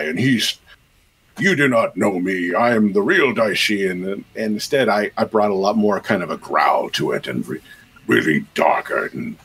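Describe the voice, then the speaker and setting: Man, low voice, husky, rambling interview guest, video call from a small room. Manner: rambling, many fillers and restarts. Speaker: game composer and sound designer, guest. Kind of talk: casual podcast interview.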